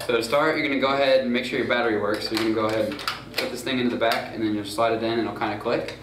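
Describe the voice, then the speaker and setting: A young man walks through a gear setup in a relaxed, filler-heavy way. He is picked up from a distance in an echoey room. Steady background noise sits under his voice. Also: mid-range voice, racing. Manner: loose and informal, many fillers and "gonna go ahead". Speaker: student crew tutorial presenter. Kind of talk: casual how-to demonstration. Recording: roomy, far from mic, noisy.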